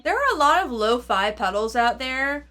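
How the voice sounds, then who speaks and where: A woman's voice: fairly high pitch, sing-song, moderate pace. A woman giving an informal, off-the-cuff gear demo, thinking aloud in loose fragments as she reviews. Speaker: gear reviewer and channel host. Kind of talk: casual, off-the-cuff product demo chat. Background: electric guitar playing through effects pedals.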